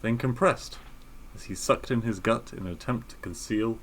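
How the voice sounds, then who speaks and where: A man's voice: mid-range voice, normal speed, background noise. A man co-hosting a podcast, reading a story aloud and chatting casually about it. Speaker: podcast co-host.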